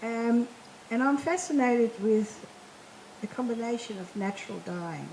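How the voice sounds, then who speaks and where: A woman in her seventies from Indonesia, introducing herself at a panel in a reflective way with soft laughs. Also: fairly high pitch, a little slow, reverberant, a little hiss or hum, rough recording. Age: 70s. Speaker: panel speaker.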